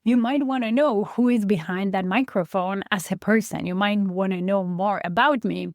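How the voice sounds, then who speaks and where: A podcast host in her forties, Polish-born with a light Polish accent, talking through a relaxed, chatty solo episode about herself. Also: medium pitch, moderate pace, dry recording, clean studio sound. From Poland. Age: 40s. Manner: chatty, reflective, counts through a list.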